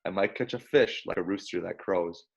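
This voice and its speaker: A man's voice: deep voice, fairly quick, gravelly. A man in his early twenties who speaks casually, as a guest chatting or reading a children's storybook aloud. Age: early 20s. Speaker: college basketball player, guest. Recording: dull lo-fi sound.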